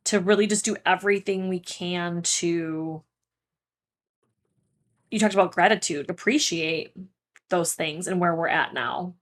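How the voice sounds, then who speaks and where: A woman's voice: lowish voice, unhurried, long pauses. A woman co-hosting a podcast in a casual, reflective chat, encouraging and thoughtful, often prompting with questions. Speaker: podcast co-host, therapist.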